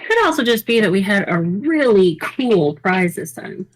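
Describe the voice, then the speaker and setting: A woman's voice: medium pitch, big pitch swings, moderate pace, poor audio. Playful woman in casual chat. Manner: playful, teasing. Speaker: podcast co-host.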